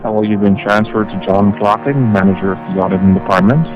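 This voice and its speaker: A man with a low voice explains terms as a collections manager on a phone call. He is wordy and halting, often repeating himself, and music plays clearly behind him. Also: steady tone.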